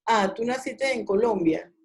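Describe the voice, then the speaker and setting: A woman's voice: on the high side, unhurried. A woman, a law professor leading an online class discussion in a didactic way, often questioning students. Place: video call.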